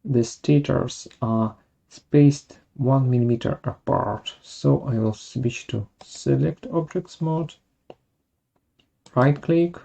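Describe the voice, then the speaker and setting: Software tutorial presenter, unhurried and halting, gravelly mid-pitched voice with a dark tone. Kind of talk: step-by-step software tutorial narration.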